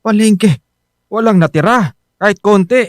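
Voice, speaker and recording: A man's voice: high-pitched, a little slow, no room sound, clean studio sound. An actor playing a poor, easily rattled husband and father who frets about money, plain-spoken and grumbling, in a radio drama. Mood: reproachful. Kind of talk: Filipino radio drama acting. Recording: music bed under the voice.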